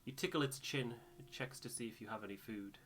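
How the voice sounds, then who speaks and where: A man reading video game narration aloud in a measured storybook way, with casual asides as a playthrough co-host. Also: medium pitch, a bit bright, soft background music.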